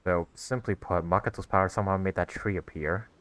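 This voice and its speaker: Low-voiced young man, casual gamer. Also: steady tone, a little fast, fairly bright tone, no echo. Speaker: gaming video host. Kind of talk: casual playthrough commentary.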